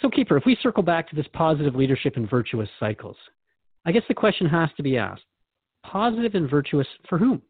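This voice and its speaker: A man's voice: a little high, very animated, quick pace, husky, no echo. A man hosting a radio conversation, engaged and reflective, asking questions and paraphrasing what he hears. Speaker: radio show host. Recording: dry, thin phone-like band.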